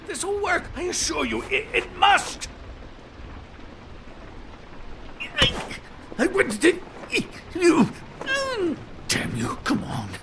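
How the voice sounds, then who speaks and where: A man's voice: very high voice, bright, forceful tone, slight background noise, heavily acted, low-quality recording. A man playing a cowardly, desperate official in a video game, blustering and pleading in a whining stammer. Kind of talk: melodramatic video game voice acting.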